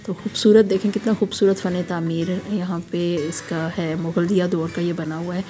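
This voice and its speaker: A hoarse woman from Pakistan with a sore throat, narrating a casual, admiring walking tour for her vlog. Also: on the low side, flat delivery, normal speed, dark tone, a little hiss or hum. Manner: chatty, admiring, guiding viewers along. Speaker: travel vlogger.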